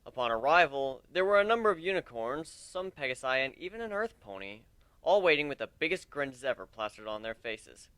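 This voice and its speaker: A man's voice: mid-range voice, sing-song, brisk, a bit bright, clear, resonant tone, no echo. A man giving an amateur dramatic reading of a first-person story.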